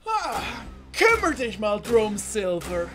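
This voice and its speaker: Woman acting pirate captain, stern, measured pace, commanding, scheming, children's audio play. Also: mid-range voice, very animated, fairly bright tone, soft background music, heavily acted.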